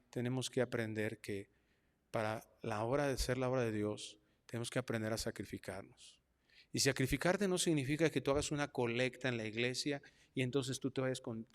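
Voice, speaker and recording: A middle-aged man with a Mexican accent preaching a sermon as pastor, animated, moving from quiet asides to loud mocking voices. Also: medium pitch. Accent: Mexican Spanish. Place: church hall. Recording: long hall reverb.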